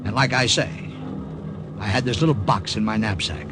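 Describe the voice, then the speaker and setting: An actor playing a cocky, chatty American wartime agent in 1950s radio drama, breezy and joking. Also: male voice, on the low side, normal speed, background noise, lightly acted. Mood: storytelling. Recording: old radio recording with music behind.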